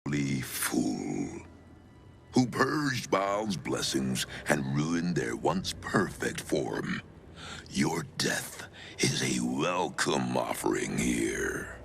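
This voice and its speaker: An actor playing a grim, murderous undead cult elder in a fantasy game, with solemn, archaic pronouncements. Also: male voice, sing-song, extremely slow, a bit bright, heavily acted. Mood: scornful. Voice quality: very deep, gravelly. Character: dread undead male cultist elder, grim, murderous zealot. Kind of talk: dramatic fantasy game acting. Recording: music behind the voice.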